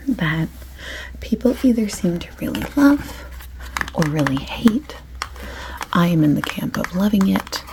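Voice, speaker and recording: A woman doing a soft-spoken ASMR shop assistant roleplay, often slipping into a breathy whisper as she explains things in chatty, unhurried detail. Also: fairly low pitch, background noise. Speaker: ASMR creator playing a perfume shop assistant. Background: light clicking and tapping of items.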